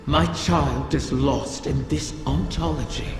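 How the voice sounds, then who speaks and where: A woman's voice: very deep voice, slow, gravelly, music in the background, heavily acted. A woman playing the spirit of a bitter, scheming mother dragon in a video game, grandiose and menacing. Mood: grave. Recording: echoing, long reverberant processed voice.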